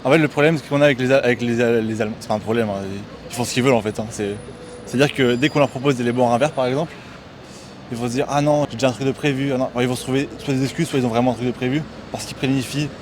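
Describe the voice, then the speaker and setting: A man's voice: mid-range voice, fairly even intonation, slight background noise. A man from France, now living abroad, explaining his experience to a street interviewer. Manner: quick, explanatory. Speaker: passer-by. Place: city street outdoors.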